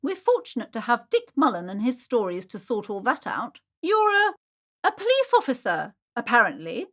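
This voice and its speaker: Nervy prim middle-aged bookshop lady, game character, high voice that goes up and down a lot, super earnest and fussy. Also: huge pitch swings, moderate pace, fairly bright tone, heavily acted. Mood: fussy, selling. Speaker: shopkeeper. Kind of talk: video game character dialogue.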